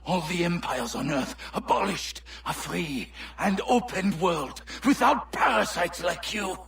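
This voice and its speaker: A man's voice: high-pitched, expressive, a little slow, creaky, a bit bright, slightly rough, full character voice. An actor playing a proud, zealous Templar man in a video game, speaking with grandiose contempt. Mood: furious contempt. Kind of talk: dramatic video game acting.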